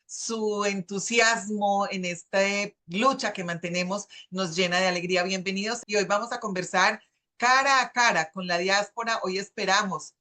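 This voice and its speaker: A woman's voice: mid-range voice, moderate pace, sharp tone. Hesitant middle-aged Colombian woman host. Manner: hesitant, searching for words. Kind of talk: hosting a video conversation.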